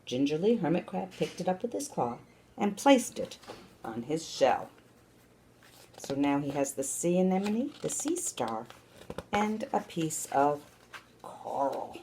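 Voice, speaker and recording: Expressive woman storyteller, very animated pitch. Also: slight background noise. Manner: expressive, deliberate phrasing. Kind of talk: children's story read-aloud.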